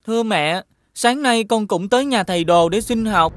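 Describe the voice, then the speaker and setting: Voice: medium pitch, normal speed, a bit bright, soft background music, close to an everyday voice. A woman voicing an earnest child of a village widow in a fairy-tale cartoon.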